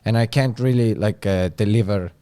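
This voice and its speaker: Hesitant Estonian-accented man, dark tone. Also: on the low side, normal speed, clean tone, no echo. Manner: hesitant, searching for words, many fillers. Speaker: comedian guest. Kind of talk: casual chat.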